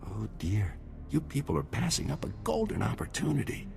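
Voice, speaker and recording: Smug, gravelly villain doctor. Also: male voice, medium pitch, wildly swooping pitch, brisk, warm tone, soft background music, exaggerated voice. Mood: pleading, oily. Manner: unctuous, politely mocking. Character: smug amoral male surgeon-scientist, a glib collaborator villain. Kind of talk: scripted video game cutscene acting.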